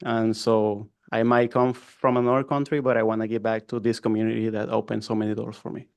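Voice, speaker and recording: A man's voice: fairly low pitch, little pitch movement, fairly quick, darkish tone, clear, resonant tone, dry recording. A man from Mexico with a Mexican accent, a youth coordinator speaking earnestly at a city commission meeting. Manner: earnest, plain-spoken. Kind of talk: public comment at a city meeting.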